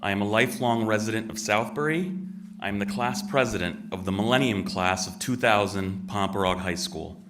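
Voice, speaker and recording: A deep-voiced man reading an earnest, deliberate public comment at a town meeting. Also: recorded from a distance, background noise. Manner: earnest, deliberate prepared statement. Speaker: resident giving public comment. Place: town hall meeting room.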